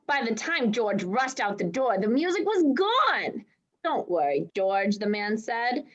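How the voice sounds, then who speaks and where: A woman storyteller reads to children at bedtime and adds her own reactions to the tale. Her voice is fairly high and very varied in pitch, and she goes slowly and expressively. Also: big pitch swings, highs cut off. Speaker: storyteller reading aloud. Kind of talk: bedtime story reading with friendly asides.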